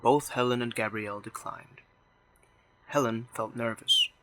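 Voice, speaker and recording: A man's voice: mid-range voice, a little slow, pushed and bright, poor audio. A man reading scripted story narration in the third person for an audio drama.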